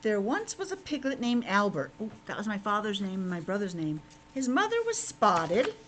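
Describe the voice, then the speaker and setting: A woman's voice: mid-range voice, very animated, normal speed, fairly bright tone. A woman teacher reading a picture book aloud to young children, breaking off for simple conversational asides. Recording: clearly noisy background.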